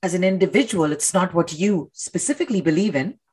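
Husky, insistent intellectual woman, played by an actress. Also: on the low side, lively intonation, brisk, darkish tone. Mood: earnest, pained. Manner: articulate, emphatic, rhetorical questioning. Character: earnest, intellectual, morally insistent woman challenging authority. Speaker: actor in a stage play reading. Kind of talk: dramatic dialogue and monologue.

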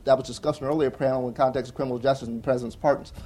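A young adult man on a conference panel, talking earnestly and fast with frequent restarts and "right?" tags. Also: medium pitch, steady tone, dark tone, breathy edge. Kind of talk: panel discussion answer. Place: conference hall with audience.

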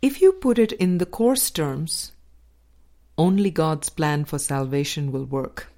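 A woman narrating a spiritual dialogue book as a scripted audiobook reading, with measured, deliberate phrasing and pauses. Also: low-pitched, warm tone. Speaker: audiobook reader.

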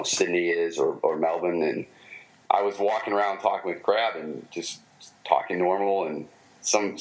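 A man with a Michigan American accent, a former pro baseball player telling stories in a casual interview, halting with many fillers and restarts. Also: deep voice, poor audio.

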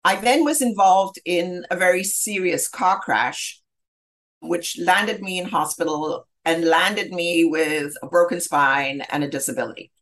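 A middle-aged woman speaks as an interview guest, with a voice pitched a little lower than most women's. She grew up in southern Africa and tells her story in an articulate, measured way, thinking back as she goes. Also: fairly bright tone. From southern Africa, grew up in Zambia. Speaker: interview guest, human rights lawyer.

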